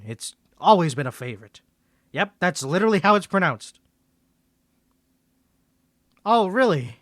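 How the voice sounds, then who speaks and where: Man playing a high-voiced, suave cartoony 1950s mafia boss. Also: fairly bright tone, no room sound, clean studio sound. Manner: polite, self-assured, flirtatious. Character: suave flirty mafia boss on a blind date. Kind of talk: scripted roleplay ASMR, cartoony 1950s voice.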